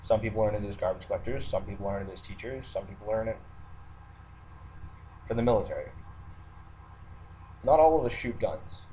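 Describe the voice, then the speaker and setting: Low-voiced man rambling over background noise. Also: expressive, a little fast, pauses often, warm tone, rough recording. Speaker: online video ranter who works in the military. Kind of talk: unscripted monologue rant to listeners. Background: background noise, roommate nearby.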